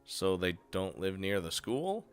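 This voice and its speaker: A man's voice: deep voice, big pitch swings, measured pace, smooth, soft background music, voice pushed down. A man reading the lines of an easygoing, curious teenage boy from a visual novel, casual and a little stumbling. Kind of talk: reading game dialogue aloud.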